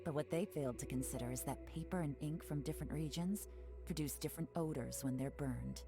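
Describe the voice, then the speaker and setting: A woman voicing a cool, composed female intelligence agent in a video game, talking in a calm, measured, knowing way. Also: low-pitched. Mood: assured. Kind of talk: game dialogue. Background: game music.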